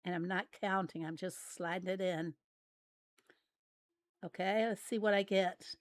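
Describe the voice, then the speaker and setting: Woman, raspy, slow unhurried pace, casual livestream chat, dry close mic. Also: on the low side, steady tone, no room sound. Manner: unhurried, chatty, reads out and answers viewer messages. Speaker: game livestreamer.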